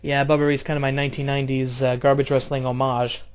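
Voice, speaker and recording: Man, hobby wrestling commentator, mid-range voice, loud outbursts, mumbled asides. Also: little pitch movement, fast, smooth. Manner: swings between loud outbursts and low offhand asides, swears casually. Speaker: play-by-play commentator. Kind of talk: excitable play-by-play of a video game wrestling match.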